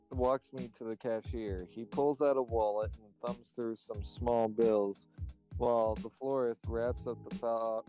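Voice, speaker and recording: Guy reading out game story lines, medium pitch, music behind him. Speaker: co-player reading narrator lines. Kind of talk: reading game narration aloud with casual asides.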